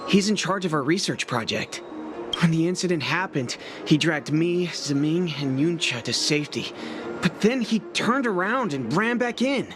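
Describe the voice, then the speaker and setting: A man's voice: on the high side, lively intonation, normal speed, lightly acted. A man voicing an anxious, frank and weary young apprentice craftsman in a video game, with a light youthful voice. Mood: anxious, distressed. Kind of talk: video game character acting. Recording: loud game music behind voice. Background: game music.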